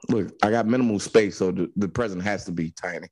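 A man from Newark co-hosting a comedy podcast, animated and laughing often. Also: low voice, fast, heavy background noise. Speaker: podcast co-host. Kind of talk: casual comedic chat.

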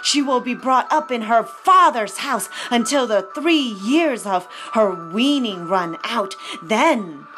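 A woman's voice: a little high, expressive, slow, hardly any pauses. A woman voicing a solemn angel announcing divine news, firm and declaiming, with music behind.

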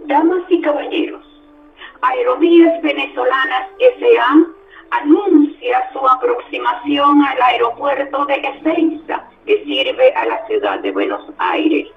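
A woman's voice: high voice, big pitch swings, slow, creaky, far from the mic, narrow, tinny audio, slight background noise, full character voice. A woman playing a courteous flight attendant making a formal announcement in a radio drama.

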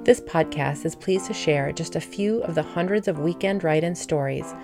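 A woman's voice: deep voice, normal speed, vocal fry, music in the background. A woman hosting a podcast, reading scripted introductions and interview questions.

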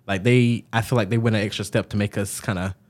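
A man's voice: on the low side, fairly even intonation, fast talker, a little mellow. Man, Southern accent, casual podcast chat, chatty storyteller. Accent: Southern American. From Georgia, US. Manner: chatty storyteller, frequent likes and ums. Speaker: podcast co-host.

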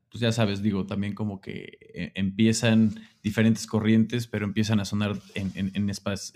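A man hosts a casual interview in a lowish voice. He is relaxed and asks meandering questions, cheering the guest on as she talks. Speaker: host.

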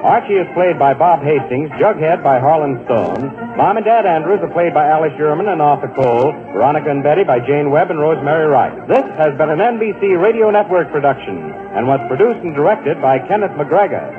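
A man's voice: fairly high pitch, animated, brisk, hardly any pauses, narrow, tinny audio, music in the background. A man working as a radio announcer, reading a script in 1940s broadcast style.

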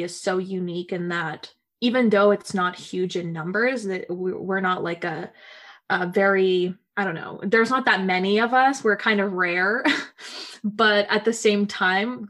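A young woman hosting a podcast, speaking in a thoughtful, reflective way with fillers. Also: mid-range voice. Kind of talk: casual conversation.

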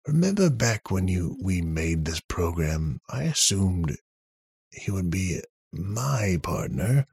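A man voicing an awkward, defensive male inventor in a story, with a halting, stammering delivery. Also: low voice, very animated, a little mellow, breathy edge, no room sound, full character voice. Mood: hesitant.

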